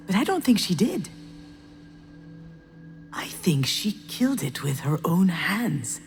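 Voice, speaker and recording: A sardonic, anguished adult daughter in a narrative game. Her naturalistic delivery is introspective and hesitant, moving widely in pitch at an average height for a woman, with music playing behind her. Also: very animated, unhurried. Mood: reflective, bitter. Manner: hesitant, wry, emotionally strained. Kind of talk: naturalistic visual novel voice acting, introspective narration and dialogue.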